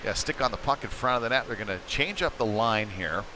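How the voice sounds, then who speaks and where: An American man giving casual, conversational live hockey play-by-play as a commentator, getting excitable when play heats up. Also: mid-range voice, a little fast. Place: indoor ice rink. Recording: noisy indoor ice rink.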